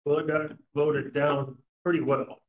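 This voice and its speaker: An older man giving a public comment at a meeting, speaking haltingly with many fillers. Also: on the high side, level tone. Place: meeting room. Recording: dull lo-fi meeting recording.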